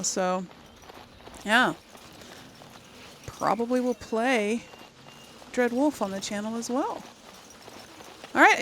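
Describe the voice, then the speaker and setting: A woman's voice: fairly high pitch, fairly bright tone, slight background noise. A woman hosting a gaming channel, giving casual, informal let's play commentary and thinking aloud.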